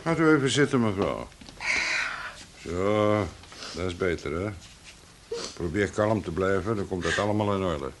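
Low-voiced, formal junior detective. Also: male voice, lively intonation, a little slow, pauses often. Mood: soothing. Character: polite, matter-of-fact junior police detective at the door. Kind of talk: Dutch radio play acting. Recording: noisy old radio play recording.

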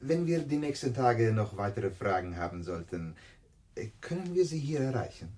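Husky, animated police officer. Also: male voice, mid-range voice, moderate pace. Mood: courteous, firm. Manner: formal, courteous, insistent questioning. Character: polite, probing Italian police officer questioning a witness. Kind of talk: German radio crime drama acting.

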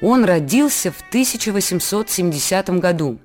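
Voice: mid-range voice, animated, fairly quick, faint music behind. A radio presenter telling a history to a child, patient and explaining, asking teaching questions. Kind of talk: scripted educational narration.

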